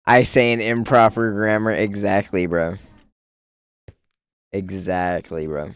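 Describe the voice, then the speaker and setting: A man's voice: on the low side, level tone, slow, smooth, no room sound, studio quality. A male streamer chatting casually while building in a game, muttering to himself and calling his viewers "bro".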